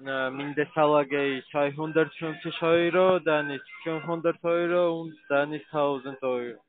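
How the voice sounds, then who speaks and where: Higher-voiced non-native salesman on the phone. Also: steady tone, no echo. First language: not German. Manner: halting, formal. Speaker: call center salesman. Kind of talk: sales pitch over phone. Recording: phone line with faint music. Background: faint music.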